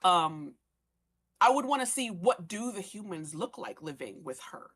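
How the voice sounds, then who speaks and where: Lowish-voiced woman thinking aloud. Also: big pitch swings, measured pace, a bit bright. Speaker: podcast voice cast member. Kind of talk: casual panel chat.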